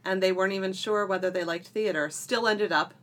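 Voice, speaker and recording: A woman's voice: mid-range voice, fairly quick, fairly bright tone. A middle-aged woman co-hosting a podcast in a casual, chatty style with a playful, bantering manner. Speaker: podcast co-host.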